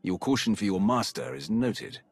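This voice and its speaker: An actor playing a curt, commanding dark Sith warrior in a video game, with a gravelly, husky voice. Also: male voice, on the low side, lively intonation, normal speed, soft background music, exaggerated voice. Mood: stern. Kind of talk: video game cutscene acting.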